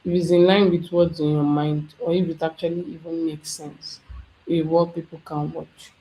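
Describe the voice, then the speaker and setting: An instructor talking through a software tutorial step by step, thinking aloud as they work. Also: mid-range voice, little pitch movement, moderate pace, highs cut off, a little hiss or hum. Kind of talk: screen-share software tutorial.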